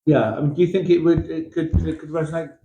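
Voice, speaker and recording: A man's voice: a little high, fast, warm tone, echoey room, muffled recording. A man interviewing a guest casually, agreeable and full of hesitant fillers.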